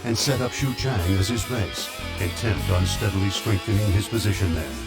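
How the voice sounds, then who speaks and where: An actor as the grave, formal narrator of a historical war epic in a video game. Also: male voice, deep voice, normal speed, creaky. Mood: solemn. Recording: loud music under narration.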